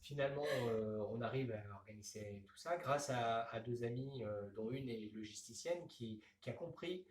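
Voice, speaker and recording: A man, a comics author, talking in a radio interview with many pauses and restarts. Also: mid-range voice, roomy sound, distant mic. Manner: hesitant, many fillers and restarts.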